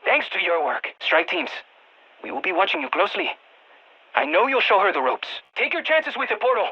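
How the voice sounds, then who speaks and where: A man's voice: very high voice, very animated, brisk, vocal fry, breathy edge, dull audio, full character voice. A man playing an eager, geeky field scientist in a video game, giving precise technical briefings to soldiers over radio comms. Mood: brisk, informative. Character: excitable nerdy male field scientist guiding soldiers by radio. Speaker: mission-support scientist character in a shooter game.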